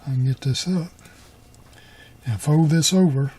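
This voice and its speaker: A man's voice: fairly high pitch, measured pace, mellow, breathy edge. A middle-aged man giving a casual hands-on tutorial, talking in a folksy, colloquial way and half muttering to himself as he works. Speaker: repair hobbyist.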